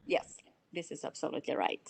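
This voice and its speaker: A woman in her forties from Belarus with a Russian accent, answering interview questions in a halting way with many fillers. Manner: halting, searching for words, frequent fillers. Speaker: CFO, interviewee.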